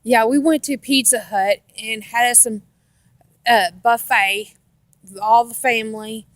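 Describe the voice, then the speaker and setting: A woman's voice: a little high, fairly even intonation, a little slow, dry recording. An American woman telling a casual personal story in a rambling, repetitive way with folksy grammar. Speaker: storytelling vlogger.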